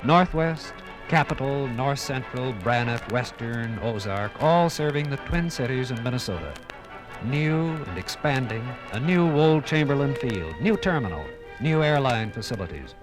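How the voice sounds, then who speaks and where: An American man narrating a 1950s radio documentary in a theatrical, oratorical manner with dramatic pauses. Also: mid-range voice, animated, low-quality recording. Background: music.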